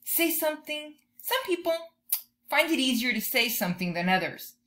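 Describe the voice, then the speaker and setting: A woman in her thirties doing an expressive children's read-aloud, drawing out key words like a teacher talking to young listeners. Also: sits high, moderate pace. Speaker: teacher reading a picture book to children. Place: bedroom or room at home, indoors.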